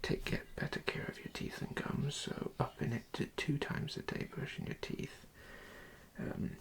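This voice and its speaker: A person performing an ASMR dentist roleplay in a breathy whisper with creaky edges, speaking softly and hesitantly as they give instructions. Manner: soft, hesitant, unhurried instructing.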